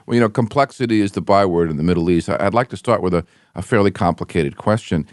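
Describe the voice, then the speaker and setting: A man hosting a radio show, giving a scripted introduction and asking measured, prepared questions. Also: low voice, no echo, clean studio sound.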